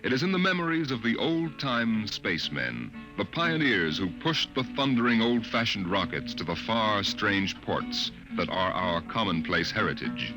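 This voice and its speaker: A man announcing and narrating a 1950s radio drama in a dramatic, measured way. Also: fairly low pitch, very animated, rough recording. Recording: old radio broadcast with music.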